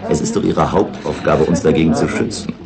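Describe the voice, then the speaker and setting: An actor playing a wry, cynical local tavern regular in a German radio play, ironic and knowing. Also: male voice, rumbling low, a little fast, vocal fry. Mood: cynical, explaining. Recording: 1960s radio play with crowd behind.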